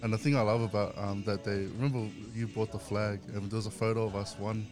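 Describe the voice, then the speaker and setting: A man's voice: fairly low pitch, fairly even intonation, quick pace, over music. A man co-hosting a casual podcast, chatting eagerly and loosely. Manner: enthusiastic, welcoming, rambling. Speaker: podcast co-host. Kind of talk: casual chat.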